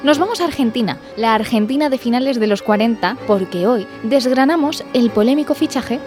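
A woman's voice: a little high, fairly quick. A woman hosting a scripted, humorous radio story, chatting directly to the listeners. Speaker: host and storyteller. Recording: music playing behind voice.

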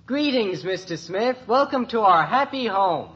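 A vintage, hissy radio recording of an American teenage boy. He is cheeky and grumbling, drawing his words out slowly in a lively sitcom style. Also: sits high, dull audio. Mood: overdone cheer. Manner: slangy, cheeky, drawn-out delivery. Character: wisecracking, grumbling teenage son, eager and cheeky. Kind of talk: 1950s radio sitcom acting. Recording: noisy old radio recording with audience.

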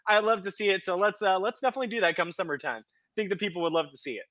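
Upbeat, high-voiced man in his thirties. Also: racing, sharp tone, no echo, studio quality. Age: 30s. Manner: encouraging, upbeat, laughs often. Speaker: podcast co-host. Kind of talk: casual chat.

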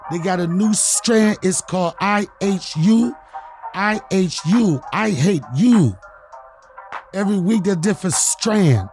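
A man hosting a comedy podcast, freestyling jokey raps and bantering loudly in street slang. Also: high voice, slow, faint music behind. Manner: loud, animated, swaggering street slang. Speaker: podcast host.

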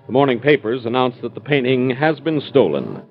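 A man's voice: mid-range voice, sing-song, brisk, faint music behind. Muffled 1940s male radio announcer. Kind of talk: 1940s radio scripted narration.

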